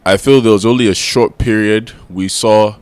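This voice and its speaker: A man's voice: on the low side, steady tone, normal speed, clean tone, dry recording. Chatty young Nigerian man, dry sound. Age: young adult. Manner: chatty, rambling. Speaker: podcast host. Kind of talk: casual podcast chat.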